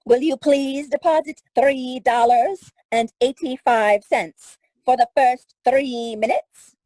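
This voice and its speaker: An actress playing a formal, impersonal telephone operator in an old-style radio drama, with a measured switchboard delivery. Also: sits high, fairly even intonation, mellow, dry recording, low-quality recording. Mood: businesslike. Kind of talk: 1940s radio drama acting.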